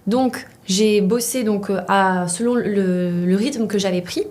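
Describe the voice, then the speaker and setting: Young woman, interview guest, halting, fillers, medium pitch. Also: a little mellow. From Poland. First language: Polish. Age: young adult. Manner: hesitant, many fillers and restarts. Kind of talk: casual interview.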